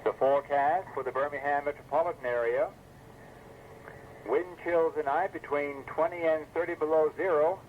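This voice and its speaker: A man's voice: on the high side, fairly even intonation, moderate pace. A man reading a scripted weather forecast as an announcer on an old weather radio broadcast. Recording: muffled, noisy 1980s weather radio broadcast.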